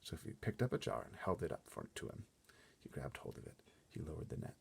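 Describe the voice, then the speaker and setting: A man reading a children's story aloud as an expressive narrator. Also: a bass voice, fairly quick, gravelly, slightly rough. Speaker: storybook reader.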